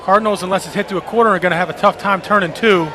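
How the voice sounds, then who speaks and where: A man's voice: high-pitched, rapid-fire, a crowd in the background, background noise. A man with an American accent giving conversational color commentary on live baseball, explaining tactics in long running sentences. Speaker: radio baseball color analyst. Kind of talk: live analytical sports commentary.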